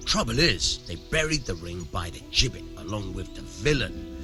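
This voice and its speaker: An actor playing a shrewd, amoral village miller in a medieval video game, gruff, coarse and slyly persuasive. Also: male voice, fairly low pitch, big pitch swings, moderate pace, music in the background. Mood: persuasive, irritated. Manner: sly, persuasive, coarse-tongued. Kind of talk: video game dialogue acting.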